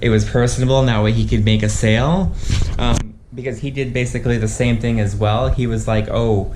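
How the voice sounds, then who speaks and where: A man with a Canadian accent telling a casual anecdote for a travel vlog in a halting, rambling way, often quoting dialogue. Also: medium pitch, steady tone, background noise. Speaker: travel vlogger.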